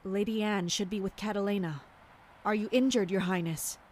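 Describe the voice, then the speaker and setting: A woman in an audio drama playing a loyal royal guard captain, brisk, alert and protective. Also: medium pitch, fairly even intonation, darkish tone, natural voice. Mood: urgent, protective.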